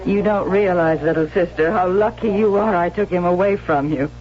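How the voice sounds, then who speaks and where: A woman's voice: lowish voice, moderate pace, a little hiss or hum, natural voice. An actress playing a glamorous, condescending older sister, a young woman, gushing and theatrical in a 1970s radio drama. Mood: arch, needling. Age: young adult. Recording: muffled old radio broadcast, music behind.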